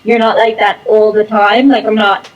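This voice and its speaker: A woman's voice: medium pitch, flat delivery, normal speed, lo-fi sound. A woman chatting casually and unscripted as an interview guest, rambling along with self-deprecating humour. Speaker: interview guest, performer and online content creator.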